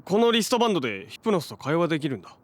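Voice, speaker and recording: Brash young guy, higher-pitched. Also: very animated, rapid-fire. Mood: puzzled, curious. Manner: casual, slangy, offhand. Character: brash, casual, warm-hearted young man. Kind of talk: Japanese audio drama acting with narration.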